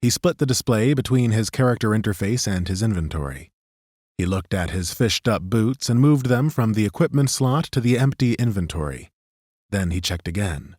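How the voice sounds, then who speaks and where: A man narrating a fantasy audiobook in a measured, even way. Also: on the low side, slightly rough, no echo, studio quality. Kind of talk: scripted narration.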